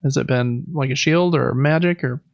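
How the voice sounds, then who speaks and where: A man's voice: mid-range voice, moderate pace, vocal fry, no room sound, dull audio. A man co-hosting a podcast, chatting casually about video games in an easygoing, tentative way. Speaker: podcast co-host.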